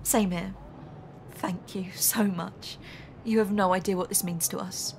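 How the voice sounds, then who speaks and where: A woman's voice: mid-range voice, fairly even intonation, measured pace, gravelly, darkish tone. A woman playing an outspoken, desperate young fugitive gambler in an audio drama. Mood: grateful. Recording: faint background noise.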